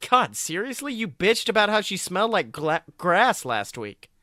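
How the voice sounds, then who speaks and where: A man's voice: high voice, sing-song, moderate pace, pushed and bright, no room sound, clean studio sound, pitched above the performer's own voice, heavily acted. A man voicing a snobbish, sulky young woman in a story, exasperated and dismissive. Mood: disdainful.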